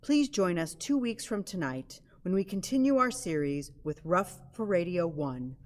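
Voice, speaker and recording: Female announcer, slightly low voice, scripted outro and credits for audio theatre. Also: expressive, normal speed. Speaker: announcer for a theatre's radio play series.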